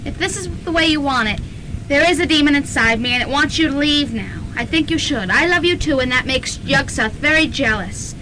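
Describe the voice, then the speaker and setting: A woman's voice: high-pitched, animated, fairly quick, noisy background. Woman playing a cool demon-possessed girl. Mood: detached. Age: young adult. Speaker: student actor. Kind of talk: amateur college radio drama acting.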